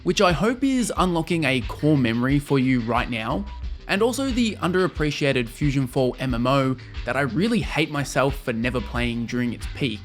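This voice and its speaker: A young adult man hosts a scripted review in video essay style. His voice sits at a middling pitch for a man. Music plays behind him as he narrates. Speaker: video host and game reviewer.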